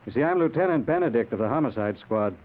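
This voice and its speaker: An actor playing a solemn police lieutenant, speaking in a measured, formal manner in a 1940s radio drama. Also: male voice, medium pitch, lively intonation, natural voice. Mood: matter-of-fact. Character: solemn male police lieutenant posing as afterlife guide. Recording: tinny, noisy old radio recording.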